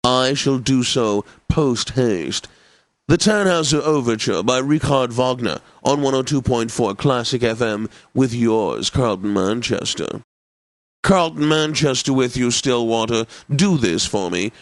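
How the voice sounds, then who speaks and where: An actor playing a pompous, drily witty classical radio DJ in a video game, with formal, over-polite, theatrical announcing. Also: male voice, medium pitch, lively intonation, unhurried, no room sound. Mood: grand announcing.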